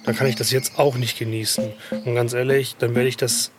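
Guy musing out loud in audio diary style, halting, loud music behind him. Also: medium pitch, little pitch movement. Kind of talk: spoken personal reflection, audio diary.

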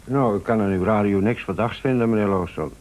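Man playing deep-voiced radio repairman, Dutch radio drama, matter-of-fact, polite, precise, certain. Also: a little fast, darkish tone, lightly acted. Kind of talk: Dutch radio play acting.

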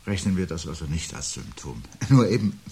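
An uneasy husband in a seventies radio play. His voice is very low and husky, and he speaks deliberately, brooding over each word as if disaster were near. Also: sing-song, a little mellow, exaggerated voice. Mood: tense, dry. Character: uneasy, brooding husband sensing a looming catastrophe. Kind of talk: 1970s radio drama acting.

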